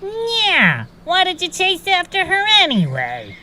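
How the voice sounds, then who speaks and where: A woman's voice: very high voice, wildly swooping pitch, slow pace, sharp tone, heavily acted. Wildly sing-song, nosy monster girl, voiced by a woman. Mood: curious. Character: nosy female Fleen monster gang member. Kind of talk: scripted audio drama acting. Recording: background noise with outdoor birdsong. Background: birdsong, forest ambience.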